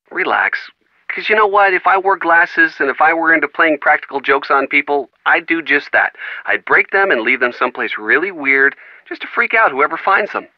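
An actor playing an affable, wry young American boyfriend in a video game, with a conversational delivery. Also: male voice, on the high side, dry recording, lo-fi sound, natural voice. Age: young adult. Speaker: boyfriend on the phone.

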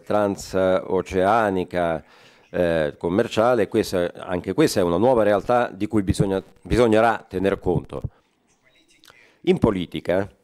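A man interpreting a forum speech live, halting often with fillers and correcting himself mid-phrase. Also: fairly low pitch, darkish tone. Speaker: conference interpreter.

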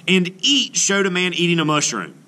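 A man reading a children's book aloud as an art teacher, adding expressive explanatory asides. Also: high-pitched, moderate pace. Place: indoors, book filmed on a table.

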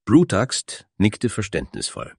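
A man's voice: lowish voice, huge pitch swings, slow, creaky, husky, no room sound, clean studio sound. A man narrating a scripted fantasy story as its storyteller.

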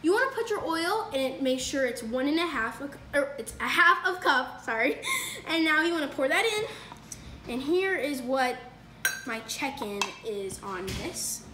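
Young woman, high voice, upbeat and rambling, home kitchen, noisy background. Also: expressive, unhurried. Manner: chatty, upbeat, many fillers and self-corrections. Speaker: cooking video host. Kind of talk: casual cooking demonstration. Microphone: phone camera across the counter. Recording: echoey kitchen with noise.

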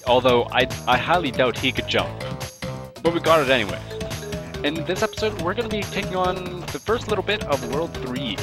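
A man with a cold, sniffling a bit, giving rambling, playful commentary while he plays a video game. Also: on the high side. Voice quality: rambling, self-talking, playful jokes at the game. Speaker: let's play host. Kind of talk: casual gameplay commentary. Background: loud game music.

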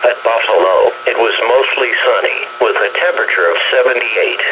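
A man's voice: medium pitch, a little fast. A synthetic man's voice reads a scripted weather forecast as an automated announcer over a weather radio. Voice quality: synthetic text-to-speech. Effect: weather radio broadcast. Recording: noisy radio speaker playback.

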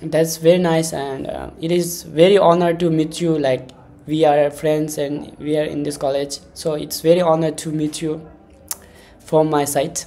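Hesitant young man hosting, mellow voice. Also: fairly high pitch, little pitch movement, normal speed. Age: 20s. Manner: hesitant, wordy, repeats himself. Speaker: podcast host, interviewer. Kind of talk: casual interview, halting questions.

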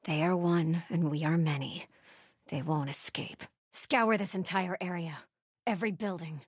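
Husky, solemn cult sentry. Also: female voice, lowish voice, no echo, clean studio sound. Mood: solemn vigilance. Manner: solemn, measured, pious. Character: devout, watchful female cult sentry, solemn and resolute. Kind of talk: video game ambient dialogue.

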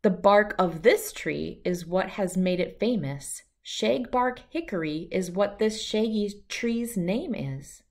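Slow-paced woman reading a storybook. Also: medium pitch, animated. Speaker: children's book reader. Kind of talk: read-aloud of a rhyming children's picture book.

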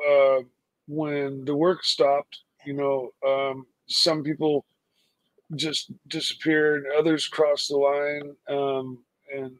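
A man on a video call, chatting casually in halting sentences with many fillers. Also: a little high, no echo, rough recording. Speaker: livestream co-host. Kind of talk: casual chat.

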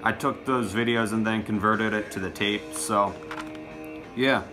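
A man's voice: fairly low pitch, fairly even intonation, a little slow, over music. A young man in his teens or early twenties doing a casual show-and-tell to camera, recorded at arm's length in a small room at home. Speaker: music collection vlogger.

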